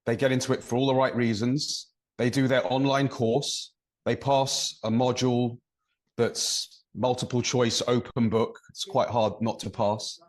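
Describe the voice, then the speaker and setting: A man's voice: medium pitch, little pitch movement, measured pace. An English man, a referee and podcast co-host, giving long thoughtful explanations in casual conversation. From England. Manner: thoughtful, explains at length with examples.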